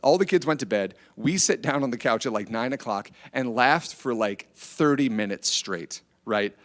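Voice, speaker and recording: A man's voice: mid-range voice, moderate pace. A middle-aged American man giving an informal motivational talk, telling stories conversationally and often checking in with his listeners. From Iowa, United States. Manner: conversational, animated storytelling, seeks agreement with tag questions. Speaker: speaker at a church family event. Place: church, speaking to a gathered group.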